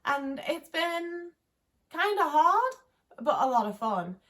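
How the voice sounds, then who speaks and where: A woman's voice: high-pitched, big pitch swings, slow pace, a bit bright. Young woman, twenties, Liverpool accent; loose, candid vlog chatter with plenty of filler words. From England. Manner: rambling, frequent "like" fillers, sweary and candid. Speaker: vlogger. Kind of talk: casual chat to camera.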